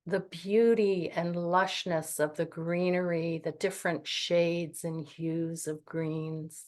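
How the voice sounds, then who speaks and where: A woman guiding a meditation, speaking in a calm, gentle and soothing way with long pauses. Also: on the low side. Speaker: meditation guide. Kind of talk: guided meditation.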